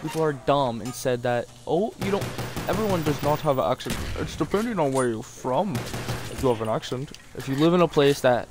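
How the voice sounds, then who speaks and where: A man's voice: mid-range voice, animated, a little fast, over music. A man doing casual, rambling, self-deprecating gameplay commentary with frequent swearing and a slightly sore throat. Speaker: gaming video host. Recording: game gunfire and noise behind voice. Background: zombie shooter gunfire and ambience.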